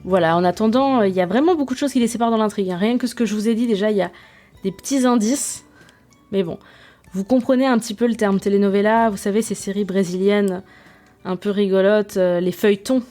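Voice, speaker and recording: Young woman podcast host, mellow tone, chatty and self-mocking, faint music behind. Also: medium pitch, quick pace. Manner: chatty, self-mocking, many fillers and restarts. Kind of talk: casual podcast review.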